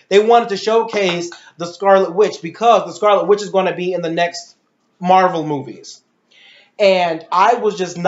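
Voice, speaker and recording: A woman giving an opinionated, casual movie review, ranting emphatically with swearing and a rambling, exasperated delivery. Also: fairly low pitch. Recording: roomy echo, dull lo-fi sound.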